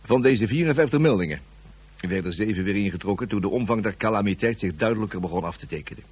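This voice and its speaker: An actor playing a weary, officious police inspector in a radio drama, dictating a report in a dry, bureaucratic way with a husky voice. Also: male voice, lowish voice, lively intonation, quick pace, darkish tone.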